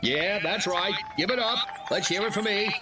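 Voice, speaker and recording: A man's voice: high voice, normal speed, recorded from a distance, a crowd in the background, background noise, lightly acted. An American man playing a bluffing small-town mayor in a video game, giving pompous, folksy, satirical 1950s-style political speeches. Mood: self-satisfied. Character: bluffing small-town mayor, alien impostor addressing townsfolk.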